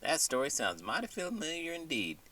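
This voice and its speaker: A man's voice: a little high, huge pitch swings, moderate pace, sharp tone, recorded from a distance, pitched above the performer's own voice, heavily acted. A man putting on a folksy, drawling cowboy. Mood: amused, folksy. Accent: American cowboy drawl. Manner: folksy, colorful cowboy idioms. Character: folksy male cowboy, puzzled, good-natured, drawling. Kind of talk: scripted comedic bedtime interlude.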